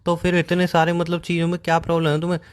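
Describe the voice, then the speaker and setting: A man's voice: fairly high pitch, monotone, very fast. A young man in a casual couple's phone argument, defensively making excuses. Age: young adult. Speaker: boyfriend on a phone call. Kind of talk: casual relationship argument in call format.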